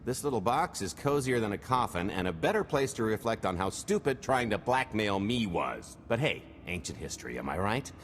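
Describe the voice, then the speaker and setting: An actor playing a smooth-talking roguish con artist in a video game, breezy, wry and sly. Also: male voice, on the low side, very animated, moderate pace, few pauses, a little hiss or hum. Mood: smug, needling. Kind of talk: video game cutscene acting.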